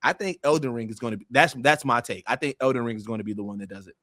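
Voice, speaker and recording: A man's voice: mid-range voice, rapid-fire, dry recording, clean studio sound. A man chatting casually and in slang as a podcast panelist. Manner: slangy, animated phrasing with frequent "bro" and "like".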